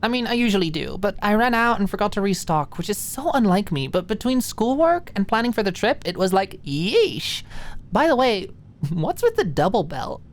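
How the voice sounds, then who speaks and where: A woman's voice: mid-range voice, big pitch swings, normal speed, pitched above the performer's own voice, natural voice. A woman voicing a friendly, giggly young woman with a playful, chatty manner in an audiobook. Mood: teasing. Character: Maud, friendly giggly young woman, tired traveler, teasing. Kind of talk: audiobook character dialogue.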